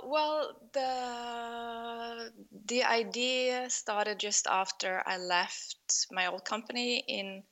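Middle-aged woman, Norwegian accent, unhurried and thoughtful, hesitates with fillers, crisp forward tone, online call. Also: mid-range voice, sharp tone. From Norway. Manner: thoughtful, pauses often with fillers, light laughs. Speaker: guest, fashion designer. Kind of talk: remote interview conversation.

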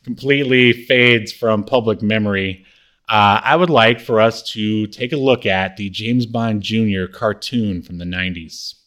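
A man's voice: lowish voice, measured pace, fairly bright tone. A man hosting a podcast in a casual, chatty style. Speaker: podcast host. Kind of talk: casual chat.

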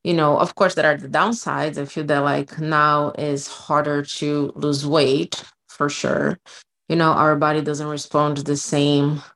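A woman in her thirties chats as a podcast co-host. Her voice is low. She tells stories with lots of "like" and "you know". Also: unhurried, creaky. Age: 30s. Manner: storytelling, animated, frequent "like" and "you know". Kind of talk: casual podcast chat.

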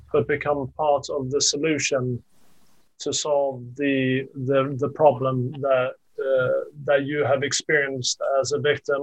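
A man's voice: mid-range voice, rough recording. A man hosting a group discussion, affirming the speakers and checking understanding with small tag questions. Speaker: discussion host.

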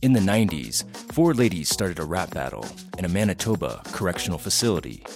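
Deep-voiced male podcast trailer announcer. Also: big pitch swings, fast, a little mellow, faint music behind. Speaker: promo announcer. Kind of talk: scripted podcast trailer narration.

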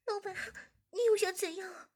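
A woman doing a high pleading young woman. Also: fairly even intonation, moderate pace, darkish tone. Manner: pleading, breathy, later playful. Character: young woman playing a submissive captive.

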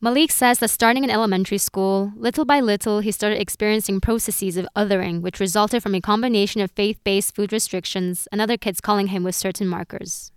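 A woman podcast host reading scripted narration clearly and evenly. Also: mid-range voice, fairly even intonation, brisk, few pauses, no echo, clean studio sound.

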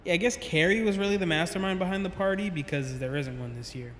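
Estranged dad, audio drama, dry self-deprecating delivery, higher-pitched voice, roomy echo, faint noise. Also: male voice, fast talker, fairly bright tone. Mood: awkward, defensive. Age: middle-aged. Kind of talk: naturalistic audio drama acting.

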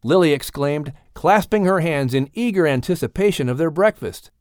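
A man's voice: a little high, animated, normal speed, smooth, dry recording, clean studio sound. A man reading a children's story aloud as a scripted audiobook narrator.

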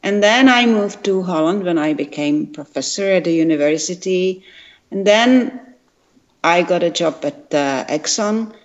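A retired woman from Czechoslovakia with a Czech accent, explaining science carefully with frequent pauses and fillers. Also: fairly low pitch, animated. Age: older. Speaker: guest, retired quantum chemist. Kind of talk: interview answers. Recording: dull lo-fi sound.

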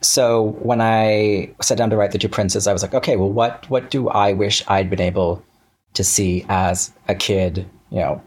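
An American man talking casually as an interview guest, in a halting, self-correcting way. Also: fairly low pitch, fairly even intonation, a little mellow. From Central Florida, United States.

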